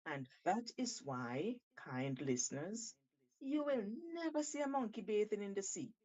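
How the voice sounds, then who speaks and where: A woman telling an animal folktale on the radio, with theatrical, deliberate phrasing and an expressive delivery. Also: mid-range voice, dull audio. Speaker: radio storyteller.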